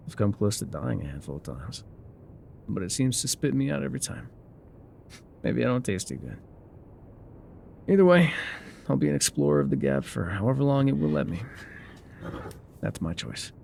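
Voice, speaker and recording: Casting: male actor as weathered explorer-guide for ASMR roleplay, low-pitched with gravelly fry, wry and mysterious, musing hesitantly. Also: darkish tone, a little hiss or hum. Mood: reflective, wry. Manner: musing, hesitant, teasing, trailing off. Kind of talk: intimate ASMR roleplay acting.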